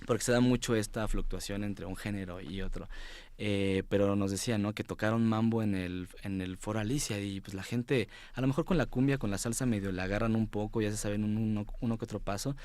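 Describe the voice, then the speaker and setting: A man's voice: lowish voice, steady tone, fairly quick, darkish tone, no echo. A man with a Mexico City accent speaking casually as an interview guest, hesitating, repeating words and often tagging phrases with a questioning "no?". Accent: Mexico City Spanish. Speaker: interview guest, music writer and editor. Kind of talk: casual radio-style interview.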